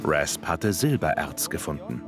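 A man narrating a travel documentary in a calm, even storytelling manner, reading a script. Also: very deep voice, a little slow. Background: music.

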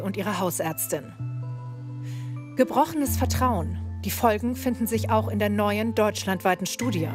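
A woman reporter reading scripted narration for a documentary news report. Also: mid-range voice.

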